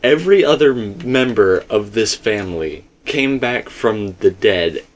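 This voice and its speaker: A man with a slightly low voice leads a casual discussion of theories. He talks in a rambling but emphatic way, like a host laying out a case. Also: lively intonation, unhurried.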